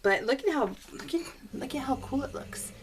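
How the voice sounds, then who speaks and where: A woman's voice: high voice, lively intonation, moderate pace, slight background noise. A woman vlogger chatting casually and bubbly to her viewers, rambling in a scattered way and circling back on her thoughts. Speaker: crafting vlogger.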